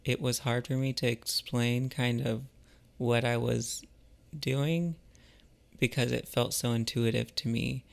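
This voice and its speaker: A man chatting casually and reflectively to viewers as a vlogger, in a halting, musing way with trailing pauses. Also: mid-range voice, fairly even intonation, gravelly. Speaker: vlogger and artist.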